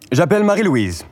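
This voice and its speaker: An actor playing a stern parish priest who speaks in a solemn, preaching manner. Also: male voice, fairly high pitch, a little mellow. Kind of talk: film acting.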